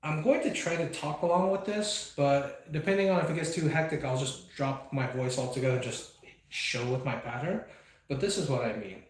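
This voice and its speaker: A man's voice: a little high, moderate pace. A man hosting his own speedbag channel, chatting casually and reflectively with plenty of fillers while he trains. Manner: reflective, meandering, many fillers. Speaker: speedbag performer and channel host. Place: indoor training space with a speedbag platform. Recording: very echoey room, faint background noise.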